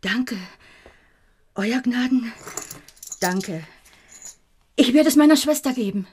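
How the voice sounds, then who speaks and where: An actress playing a humble young lady's maid in a radio play, speaking softly and with deference. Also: on the high side, expressive, slow, a little hiss or hum. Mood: humble, grateful. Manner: deferential and soft-spoken.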